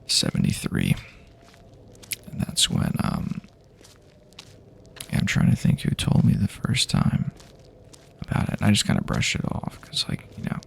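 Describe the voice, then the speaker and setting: A man playing a wary but hopeful, laid-back apocalypse survivor in a hushed, halting, rambling, partly whispered ASMR roleplay. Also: lowish voice, gravelly, a little hiss or hum, exaggerated voice. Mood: reflective, hesitant. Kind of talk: improvised ASMR roleplay, soft-spoken.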